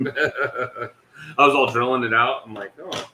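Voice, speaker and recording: A man hosting a casual livestream, rambling and poking fun at himself. His voice is bright and forceful. Faint noise sits underneath. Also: mid-range voice, fairly even intonation, slow pace, roomy sound, rough recording. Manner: rambling, self-mocking, swears casually. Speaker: livestream host. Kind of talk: casual chat.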